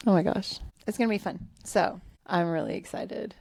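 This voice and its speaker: A woman's voice: mid-range voice, moderate pace, darkish tone, slight background noise. A woman co-hosting a paranormal podcast, chatting casually and upbeat, laughing often. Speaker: podcast co-host.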